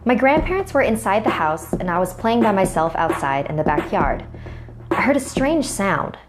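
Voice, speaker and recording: A woman's voice: mid-range voice, big pitch swings, moderate pace, background noise. A woman narrating a scripted first-person horror story as a storyteller. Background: music.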